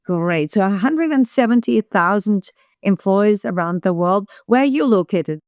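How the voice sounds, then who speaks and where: Woman podcast host, mid pitch, dry close sound, friendly direct questions. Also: animated, unhurried, a little mellow, no echo. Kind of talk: interview questions.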